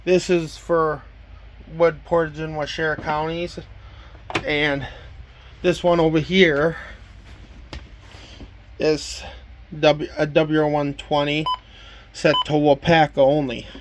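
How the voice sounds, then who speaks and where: Male, somewhat high pitch, casual demonstration, matter-of-fact, plain delivery. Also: flat delivery, takes it slowly, pauses often, noisy background. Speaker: collector presenting a weather radio collection. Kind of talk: casual show-and-tell demonstration.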